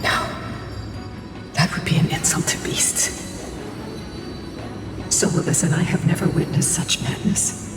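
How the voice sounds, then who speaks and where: An actor's slow, echoing ancient warrior spirit. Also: mid-range voice, flat delivery, close to an everyday voice. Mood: bitter disgust. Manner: grave, slow, formal. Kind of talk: theatrical fantasy video game dialogue. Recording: very echoey distant hall, loud music behind.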